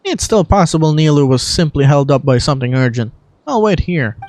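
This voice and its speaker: Woman playing an earnest, low-voiced game adventurer. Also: lively intonation, brisk, darkish tone, no echo. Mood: concerned. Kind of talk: scripted game dialogue.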